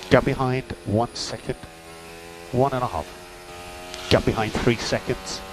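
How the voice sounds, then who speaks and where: A man's voice: mid-range voice, fairly even intonation, unhurried. Man on noisy team radio, race engineer. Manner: matter-of-fact, terse. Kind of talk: clipped technical race radio updates. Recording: noisy radio link with mechanical hum.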